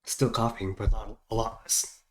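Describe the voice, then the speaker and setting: A man's voice: on the low side, little pitch movement, moderate pace. Stuffed-up man rambling through a reaction. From United States. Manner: rambling, hesitant with many fillers. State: stuffed up, congested. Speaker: reaction video host. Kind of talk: casual chat.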